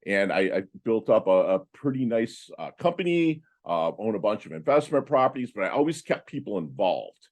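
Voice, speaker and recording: A man in his fifties, a podcast guest, telling his life story in a casual, animated way with many fillers and restarts. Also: on the low side, moderate pace, hardly any pauses. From Philippines. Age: 50s. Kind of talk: casual interview talk.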